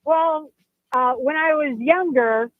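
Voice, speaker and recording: Halting woman calling in over the phone. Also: sits high, dry recording. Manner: halting, with many fillers. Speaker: caller. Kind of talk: call-in on a radio show. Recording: phone line.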